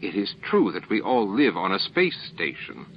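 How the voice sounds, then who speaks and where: A man's voice: on the low side, big pitch swings, normal speed, lo-fi sound, slight background noise. A man voicing a stern, grave space station captain in an audiobook, indignant but controlled. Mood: firm, controlled.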